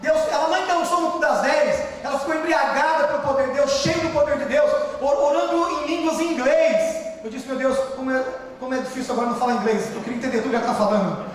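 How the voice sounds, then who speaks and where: A man with a Brazilian Portuguese accent preaching a sermon as pastor, often shouting for emphasis. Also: very high-pitched, fast talker, a little hiss or hum. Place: large church hall. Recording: echoey church hall, distant mic.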